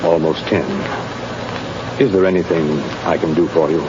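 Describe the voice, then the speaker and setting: A man's voice: deep voice, fairly even intonation, normal speed, lightly acted. A man playing a courteous, solemn prison official in an old-time radio drama. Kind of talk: 1930s-40s radio acting. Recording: muffled, noisy old radio broadcast recording.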